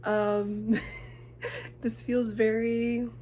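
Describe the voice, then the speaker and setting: Young woman vlogger, nervous and self-conscious, slow unhurried delivery, faint background hiss. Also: fairly high pitch, level tone, a little mellow. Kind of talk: casual talk to camera. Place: indoor room. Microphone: camera mic.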